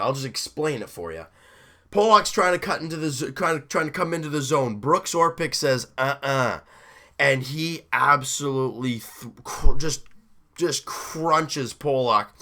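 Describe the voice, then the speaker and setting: A young man with a hoarse, roughened voice, excitedly recapping a hockey game in a rambling, self-interrupting way. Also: mid-range voice. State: hoarse, deep rough voice after a late night. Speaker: hockey fan video host.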